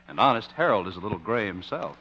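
An American man announcing in 1950s radio style, reading scripted copy in a polished, measured way. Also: lowish voice, big pitch swings, bright, forceful tone, slight background noise. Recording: muffled old 1950s radio recording.

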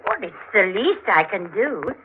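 A woman's voice: medium pitch, sing-song, a little slow, a little hiss or hum. An actress playing a chatty, motherly, intrusive neighbor, genteel and insistent, in 1940s radio drama style. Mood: sweetly fussing. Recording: tinny, narrow-band 1940s radio recording.

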